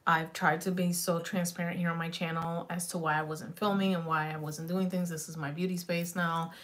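A woman in her thirties chatting loosely on a beauty livestream, swearing freely, dropping in Spanish words, her slightly raspy voice going in and out. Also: on the low side, level tone, a little fast, a bit bright. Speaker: beauty vlogger. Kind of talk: rambling casual livestream chat. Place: small home beauty room, indoors.

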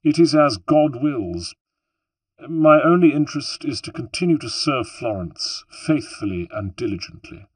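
Male reader plays a smug, pompous official, pitched higher and louder than his narration, with a husky edge. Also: expressive, unhurried, dry recording. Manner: smooth, self-satisfied, then pleading.

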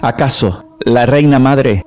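Man acting young medieval squire, loyal, formal, respectful, average pitch, radio drama, soft music behind. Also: takes it slowly, lightly acted. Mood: formal, dutiful.

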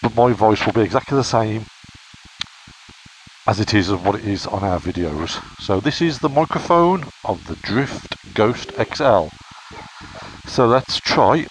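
Deep-voiced English biker vlogging. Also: male voice, expressive, a little slow, slight background noise, low-quality recording. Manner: chatty, explains as he goes. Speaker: motorcycle vlogger reviewing a camera. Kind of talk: casual product review vlog. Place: home and garden, then outdoors by his motorbike. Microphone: helmet microphone of action camera.

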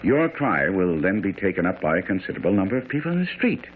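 An actor playing a self-assured, brilliant detective in a radio drama, speaking with precise, theatrical authority. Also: male voice, deep voice, very animated, fast. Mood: instructing, commanding. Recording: tinny, faintly noisy old radio broadcast.

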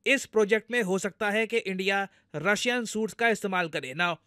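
A man's voice: sits high, moderate pace, bright, forceful tone, clear, resonant tone, no room sound, clean studio sound. A man with an Indian accent giving explanatory news commentary in a conversational way, addressing viewers directly and going step by step. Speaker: news commentator, video host.

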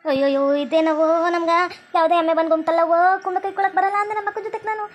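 A woman's voice: very high voice, fairly even intonation, very fast, dull audio. A woman playing chatty, bickering village farm labourers in a cartoon skit, garrulous and colloquial, with a North Karnataka accent. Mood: alarmed, wailing.

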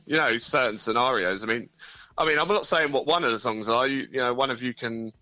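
An easygoing man from a band, chatting about his music in a relaxed way. His voice is bright and forward, and it sounds dry and close, with no room around it. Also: mid-range voice, brisk, no echo, poor audio. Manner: easygoing, hedging with "you know". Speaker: band member guest. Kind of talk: casual interview over the phone.

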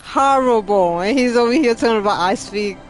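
A woman's voice: a little high, brisk, low-quality recording. A woman from Puerto Rico with a New York accent, a streamer chatting casually with friends while gaming, brash, swearing and teasing.

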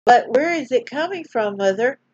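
A woman voicing two excited young boys in a storybook reading. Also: a little high, normal speed, no room sound, voice pushed up. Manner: excited, eager. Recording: dull lo-fi dry recording.

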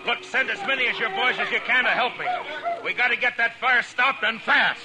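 An actor playing a stern, weary frontier marshal in a 1950s radio western, terse and commanding. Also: male voice, very high-pitched, brisk, music in the background. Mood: commanding, urgent. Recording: noisy old 1950s radio recording.

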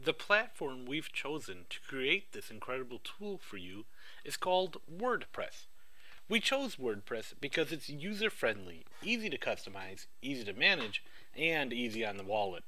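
Lively bright-voiced man pitching a project. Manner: upbeat sales-pitch delivery. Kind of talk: rehearsed class project pitch.

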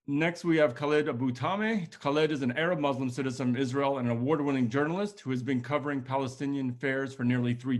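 Brisk young man reading introductions. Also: medium pitch. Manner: brisk, scripted, upbeat. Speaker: host introducing panel. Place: home room with plants. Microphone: video call.

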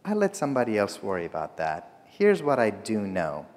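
A man's voice: lowish voice, wildly swooping pitch, moderate pace, darkish tone. A middle-aged man, not a native English speaker, preaching a humorous, story-driven sermon from a church pulpit. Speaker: guest preacher. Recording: long church reverb.

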